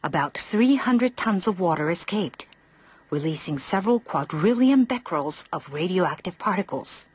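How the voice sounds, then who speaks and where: A woman's voice: lowish voice, expressive, normal speed, slightly rough, no room sound. A woman reading scripted news narration as a reporter.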